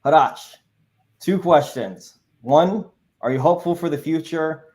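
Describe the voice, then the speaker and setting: Chill dude hosting a live panel, mellow warm tone, says you know a lot. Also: male voice, fairly high pitch, a little slow. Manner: laid-back, upbeat, "you know" fillers. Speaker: host, moderator. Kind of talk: casual livestream moderating.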